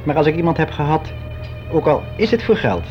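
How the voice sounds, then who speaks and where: An actor playing a frank, wistful clerk of about sixty in a radio drama monologue, speaking in a musing, halting, confiding way. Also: male voice, on the high side, very animated, soft background music. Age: older, about sixty. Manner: musing, halting, candid, with drawn-out phrases. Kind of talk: confessional radio drama monologue, conversational and hesitant. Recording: dull lo-fi radio play recording.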